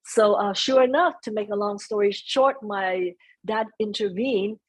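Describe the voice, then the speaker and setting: A soft-spoken middle-aged woman speaking as a guest in an interview, chatting about family, sometimes slipping into Vietnamese phrases. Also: medium pitch, unhurried, slightly rough. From United States. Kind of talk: conversational interview.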